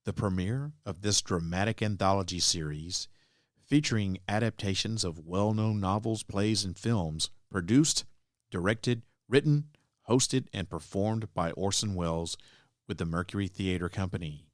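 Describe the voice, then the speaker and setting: A man's voice: low-pitched, normal speed, no echo, clean studio sound. A man hosting a podcast, reading a scripted introduction to old-time radio drama. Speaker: podcast host.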